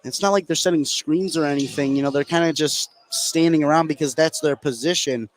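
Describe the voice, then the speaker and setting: Male color analyst, slightly high voice, informal conversational delivery for live sports radio. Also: brisk. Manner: casual, informal phrasing. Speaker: color commentator.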